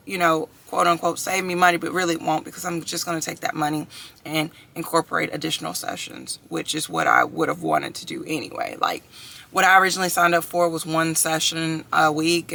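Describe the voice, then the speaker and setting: A woman's voice: on the low side, vocal fry, bright, forceful tone. A woman in her thirties chatting upbeat to her viewers in a casual video diary, calling them y'all and guys. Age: 30s. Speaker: vlogger. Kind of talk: casual chat to camera.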